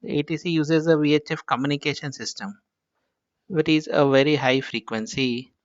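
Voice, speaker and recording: Smooth Indian-accented man explaining, slightly muffled. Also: fairly high pitch, fairly even intonation, normal speed, dry recording. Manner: repetitive, step-by-step explaining. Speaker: instructor. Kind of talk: informal explanatory tutorial.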